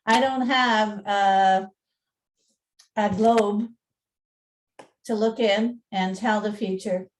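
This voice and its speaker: A woman's voice: mid-range voice, fairly even intonation, very slow, pauses often, recorded from a distance. A woman presenting an informal talk in a chatty, self-deprecating manner. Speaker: museum presenter and radio play narrator. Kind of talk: informal talk with slides and dramatic reading.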